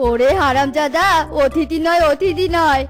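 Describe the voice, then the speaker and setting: Woman voicing a high-pitched, bossy cartoon wife. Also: moderate pace, soft background music, lightly acted. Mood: angry scolding. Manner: sharp-tongued, exaggerated. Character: bossy, scolding, disgusted cartoon animal wife. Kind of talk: comic cartoon voice acting.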